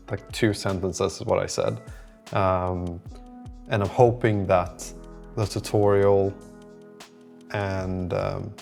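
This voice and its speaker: A man's voice: fairly low pitch, creaky, darkish tone. A man hosting a video diary, chatting casually in an informal, rambling and hesitant way. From lives in southern Sweden. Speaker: host of a startup-building video diary. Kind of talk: casual vlog chat. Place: indoor room beside a window.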